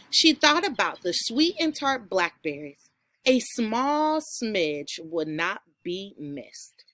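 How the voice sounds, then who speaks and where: A woman teacher reads aloud to young children with enthusiasm. Her pitch rises and falls widely and she takes her time, in a close, dry recording. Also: pushed and bright, no room sound. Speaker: second grade teacher reading aloud. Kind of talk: expressive children's storybook read-aloud.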